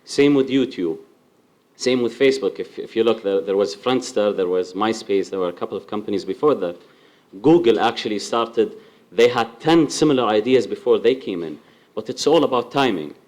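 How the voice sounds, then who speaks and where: A man's voice: lowish voice, lively intonation, moderate pace, a little mellow. A man giving a spontaneous comment at a startup event, often repeating words as he thinks. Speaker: audience member commenting.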